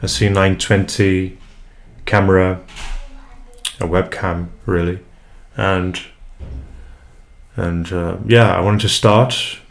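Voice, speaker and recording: Man, young, from Brazil, Portuguese first language, very low voice, halting and thoughtful, home desk mic. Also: steady tone. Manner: hesitant, many fillers and restarts, reflective. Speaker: English tutor and vlogger. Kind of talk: casual first vlog introduction. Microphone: USB desk mic, unprocessed.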